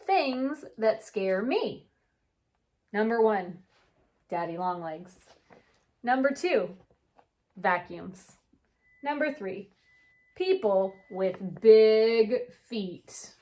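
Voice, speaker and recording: Slow-paced woman reading a children's storybook. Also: on the high side. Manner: animated, drawn-out storybook delivery. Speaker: teacher reading a picture book aloud for pupils. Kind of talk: expressive children's read-aloud with chatty asides.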